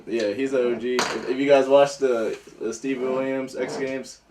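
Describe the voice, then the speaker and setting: A man's voice: medium pitch, fairly even intonation, moderate pace. A man in his twenties, born in Nigeria and raised in Northern Virginia, chatting loosely as a podcast guest and laughing often. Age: 20s. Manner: laid-back, laughs often, loose filler words. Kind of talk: casual chat. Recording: echoey room, mic at a distance, faint noise.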